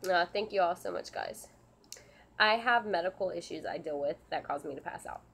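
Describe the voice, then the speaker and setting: A woman with a slightly low voice, congested from a sinus infection and a bit scratchy in the throat. She answers viewer questions in a relaxed live stream. She keeps restarting her sentences in a soft, earnest way. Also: creaky. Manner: halting, frequent restarts and ums, soft and earnest. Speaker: service dog handler and channel host.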